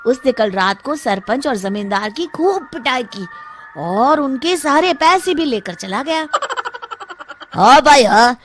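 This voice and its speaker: A woman's voice: high voice, animated, measured pace, fairly bright tone, slight background noise. A woman voicing an alarmed, gossiping village man in a children's cartoon story, agitated and exclamatory. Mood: worried, irritable. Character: alarmed, gossiping village men afraid of a ghost.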